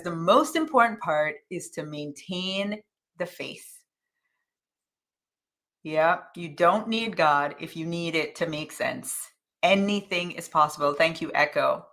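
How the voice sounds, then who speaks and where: A woman's voice: fairly low pitch, fairly bright tone. A woman coach hosting a casual motivational live stream. She chats upbeat, reads out viewer comments by name, and keeps repeating calls to action. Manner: repetitive, urging calls to action.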